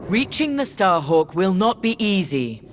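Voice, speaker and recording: An actress playing a commanding Imperial squadron leader in a space game, clipped, controlled and imperious. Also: female voice, medium pitch, sing-song, a little slow, slight background noise. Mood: confident, menacing. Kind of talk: scripted military briefing in a space game. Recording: dramatic music under the voice.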